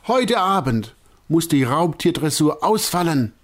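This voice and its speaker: A man voicing a panicky male circus director in a storybook, with a high, strained, lamenting voice. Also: sing-song, unhurried. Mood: distraught. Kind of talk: storybook character voice.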